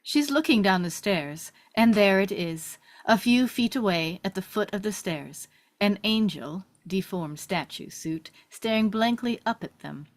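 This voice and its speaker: A woman's voice: mid-range voice, lively intonation, measured pace. Woman narrator, mid-range voice, scripted stage directions, table read.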